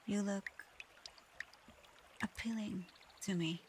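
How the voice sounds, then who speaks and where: A woman voicing a proud but conflicted dragon girl in human form for an ASMR audio roleplay, soft-spoken, hesitant and earnest. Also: mid-range voice, steady tone, slow pace, slight background noise, lightly acted. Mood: flustered, shy.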